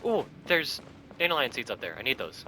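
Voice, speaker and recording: A man's voice: mid-range voice, very animated, a little fast, noisy background. A man giving casual commentary as he plays a video game. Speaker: gaming video host. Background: game music.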